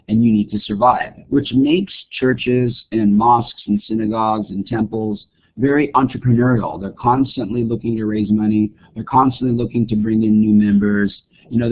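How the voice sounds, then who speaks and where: A college instructor from Greece giving a recorded politics lecture, explaining and asking rhetorical questions. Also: male voice, mid-range voice, husky. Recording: muffled phone-like lecture recording.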